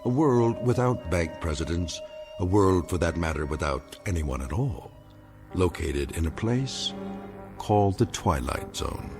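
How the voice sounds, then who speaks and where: A man playing the grave, wry narrator of an eerie radio drama, measured and ominous in delivery. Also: deep voice, expressive, music in the background. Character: grave, wry male storyteller-host of eerie tales.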